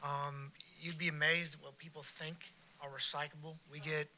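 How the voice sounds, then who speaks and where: A man's voice: fairly high pitch, little pitch movement, moderate pace, bright, forceful tone, a little hiss or hum. A man representing a waste service, explaining things in an easygoing way at a council meeting.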